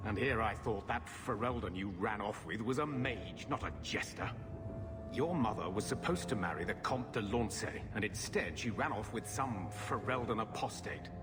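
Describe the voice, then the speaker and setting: An actor playing a gruff, evasive middle-aged uncle in a fantasy game, bitter and dismissive in manner. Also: male voice, on the low side, animated, normal speed, close to an everyday voice. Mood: sardonic. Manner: surly, dismissive. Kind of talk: fantasy video game acting. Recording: long reverb, loud music behind.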